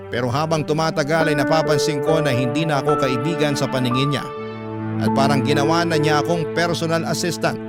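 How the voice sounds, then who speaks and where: A man reading a wounded student's first-person love story as a radio narrator, in an earnest, measured way. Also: a little high, lightly acted. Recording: loud music over the voice.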